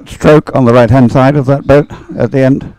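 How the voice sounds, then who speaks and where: Male sports commentator, medium pitch, unscripted, halting and rambling delivery. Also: steady tone, a little mellow, low-quality recording. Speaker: race commentator. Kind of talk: live off-the-cuff sports commentary.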